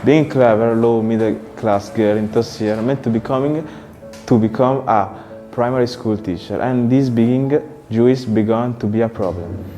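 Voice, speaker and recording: A man's voice: mid-range voice, moderate pace, warm tone, faint music behind. Italian-accented man narrating earnestly. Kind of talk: scripted documentary narration.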